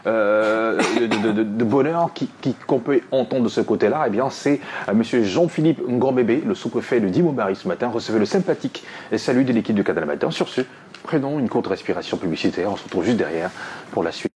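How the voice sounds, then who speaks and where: A man hosting a radio show, talking with quick, preaching insistence. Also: lowish voice, expressive, a little mellow, a little hiss or hum. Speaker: radio host. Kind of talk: radio talk and presenting.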